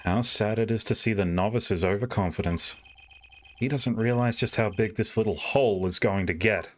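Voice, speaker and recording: A man's voice: fairly low pitch, moderate pace, lightly acted. A man voicing a sardonic male defense lawyer with pointed, theatrical questioning in a live game fan dub. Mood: confident, challenging.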